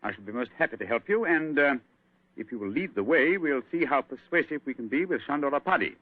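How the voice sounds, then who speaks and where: An actor voices a quick-witted English detective, a man who talks fast with a lively, swooping delivery. His speech is crisp and commanding, and it comes through thin and tinny, like a vintage radio play. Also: medium pitch, noisy background, natural voice. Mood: brisk. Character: brilliant male English detective, brisk and sharp. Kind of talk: 1940s radio acting. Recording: tinny old radio broadcast.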